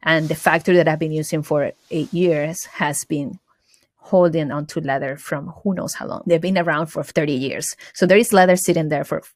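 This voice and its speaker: A woman's voice: deep voice, steady tone, normal speed. A woman from Venezuela with a Venezuelan accent, a guest talking earnestly about her small business and often restarting words mid-thought. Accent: Venezuelan Spanish. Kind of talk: casual interview chat.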